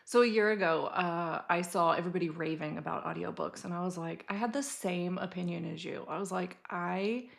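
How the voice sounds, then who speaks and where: Playful woman co-host with creaky voice. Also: fairly low pitch, fairly quick, hardly any pauses. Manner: informal, playful. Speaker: podcast co-host. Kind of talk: casual chat.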